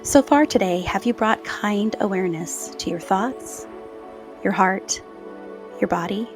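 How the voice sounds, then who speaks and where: A woman leading a guided meditation in a slow, soothing, soft-spoken way with gentle pauses. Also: medium pitch. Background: new-age music.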